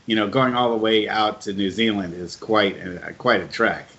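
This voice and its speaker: A man's voice: fairly low pitch, moderate pace, a bit bright. Man hosting casually, dull muffled audio. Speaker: podcast host, interviewer. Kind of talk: casual chat interview.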